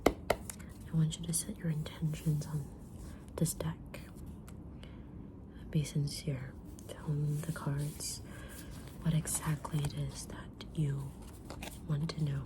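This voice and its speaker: A woman playing a kind, mysterious witch fortune teller in an ASMR roleplay, whispering gently and deliberately with pauses. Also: low-pitched, level tone, low-quality recording. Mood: calm, mysterious. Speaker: ASMR roleplayer reading tarot cards.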